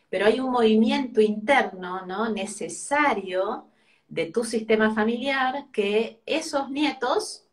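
A middle-aged woman with an Argentine Spanish accent explains things reflectively on a video call, often checking in with short tag questions. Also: mid-range voice. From Argentina, born in Brazil. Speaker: host, family constellations teacher. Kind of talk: casual live video conversation, explanatory. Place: furnished room at home.

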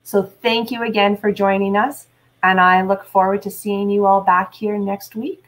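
A woman, a teacher reading aloud and talking deliberately to young listeners. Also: medium pitch, little pitch movement, low-quality recording. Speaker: teacher and read-aloud host. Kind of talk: read-aloud and instructional talk to children. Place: small indoor room at home.

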